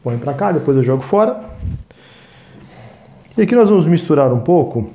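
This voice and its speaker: A man in his thirties with a Brazilian Portuguese accent, hosting a cooking show and chatting to viewers as he works, often checking in with a quick "right?". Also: a little high, sing-song, roomy sound, lo-fi sound. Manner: chatty, talks to viewers as "gente", frequent "tá?" checks. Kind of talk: cooking demonstration. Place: small studio kitchen set.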